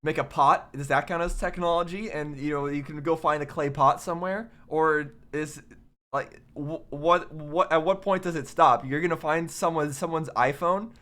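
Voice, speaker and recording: A man hosting a reaction video, commenting casually and haltingly, often wondering aloud in questions. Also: fairly high pitch, fairly even intonation, sharp tone. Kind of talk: casual, off-the-cuff commentary on game cutscenes.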